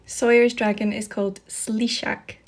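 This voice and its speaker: A woman speaking a scripted explainer video, going through words methodically and clearly. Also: a little high, flat delivery, a little slow, slight background noise. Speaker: social media video creator.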